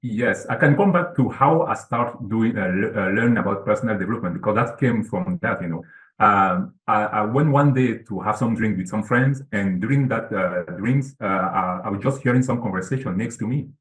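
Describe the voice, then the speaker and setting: A man's voice: fairly low pitch, moderate pace, fairly bright tone, reverberant, low-quality recording. A man from Cameroon, a guest author, talking earnestly over a video call with lots of fillers and repeats. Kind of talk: casual interview talk.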